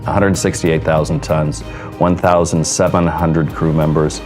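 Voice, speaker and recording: Deep-voiced American man over music. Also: little pitch movement, measured pace. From Seattle, United States. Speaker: cruise ship hotel director. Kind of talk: interview soundbites in a promotional film. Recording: music behind the voice. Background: background music.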